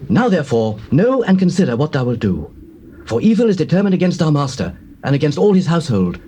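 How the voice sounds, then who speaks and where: An actor playing an anxious young servant in a dramatized scripture recording, pleading earnestly and urgently in archaic English. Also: male voice, on the high side, sing-song, fast, mellow. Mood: urgent, alarmed. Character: anxious young male servant reporting to his mistress. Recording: faint noise, old cassette tape.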